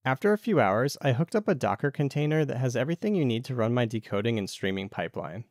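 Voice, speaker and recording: A man's voice: mid-range voice, big pitch swings, quick pace, no room sound, studio quality. A man narrating a casual DIY tech tutorial as the video's host.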